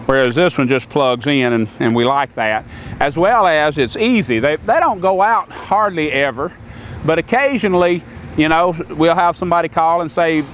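Older man, slightly high voice, folksy and plain-spoken, outdoors, faint background hum. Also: expressive, normal speed, fairly bright tone. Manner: plain-spoken, folksy, repeats words when restarting. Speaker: sawmill maker explaining equipment. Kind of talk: casual outdoor equipment demonstration. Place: outdoors on a grassy lot beside a sawmill.

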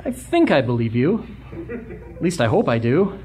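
A woman's voice: sits low, very animated, normal speed, a little mellow, slightly rough, full character voice. A woman playing a folksy, talkative young delivery boy in a 1940s-style radio adventure. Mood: earnest.

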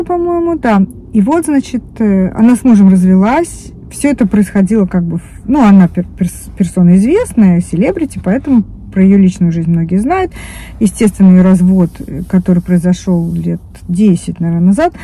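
A woman's voice: mid-range voice, mellow. A woman in her fifties chatting casually to camera from a parked car, rambling with many restarts and fillers. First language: Russian. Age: 50s. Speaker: vlogger. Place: parked car, indoors of vehicle. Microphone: phone camera on dashboard.

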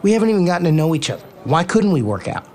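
A man's voice: on the high side, very animated, very fast, a little mellow. An actor playing an easygoing, cocky, slightly dim male athlete in a romantic comedy audio drama, casual and nonchalant. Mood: breezy, flirting.

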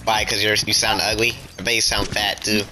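A man bantering casually in game voice chat, teasing others in a deadpan way. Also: on the low side, fairly quick, over music. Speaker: gamer trolling in voice chat.

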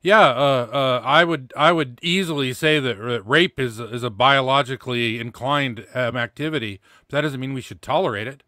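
A man's voice: mid-range voice, moderate pace, hardly any pauses, sharp tone, no room sound. A middle-aged man giving blunt, indignant, sweary opinion in unscripted commentary, close on a studio mic. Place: small indoor home studio. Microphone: close studio microphone with pop filter, headphones.

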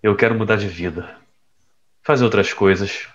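A man's voice: on the low side, moderate pace, dull audio, natural voice. A man playing a troubled, restless boyfriend in an audio drama, speaking hesitantly and evasively. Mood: earnest, restless. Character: troubled, restless man confiding in his girlfriend.

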